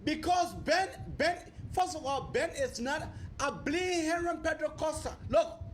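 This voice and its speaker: A man hosting a political discussion, speaking in a halting, repetitive way. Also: very high-pitched, slight background noise. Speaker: talk show host.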